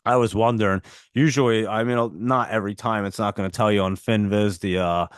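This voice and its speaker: A man's voice: fairly low pitch, fairly even intonation, fairly quick, clean tone, no echo, clean studio sound. A man with a heavy New Jersey accent, casually chatting through stock picks and viewer messages on a live trading stream. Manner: chatty, reads viewer messages and ticker symbols. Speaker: stock trading livestream host. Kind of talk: casual live market chat.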